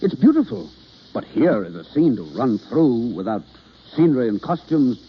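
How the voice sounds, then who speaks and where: An actor playing an instructive, theatrical understudy coaching a novice in an old-time radio drama, on a noisy, dull vintage recording. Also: male voice, medium pitch, big pitch swings, normal speed, breathy edge. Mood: hesitant, polite. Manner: instructive, theatrical, hesitant with uhs. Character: male theatre understudy coaching a novice, impatient yet kindly.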